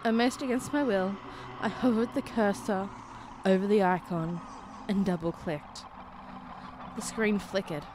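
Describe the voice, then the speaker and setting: A woman reading a scripted horror story as a narrator, in a suspenseful, deliberate way with short dramatic phrases. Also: medium pitch, background noise. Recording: music behind the voice. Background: background music.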